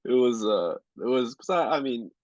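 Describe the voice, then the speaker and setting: A man in his twenties from Rhode Island, a sports reporter chatting casually as a podcast guest, talking in a monotone with lots of fillers. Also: medium pitch, normal speed, no echo, lo-fi sound. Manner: monotone delivery, filler-heavy. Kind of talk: casual interview chat.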